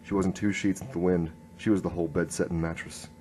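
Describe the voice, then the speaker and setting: A man playing a world-weary, wry police inspector who narrates a noir radio drama in a brooding deadpan. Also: deep voice, quick pace, slight background noise, close to an everyday voice.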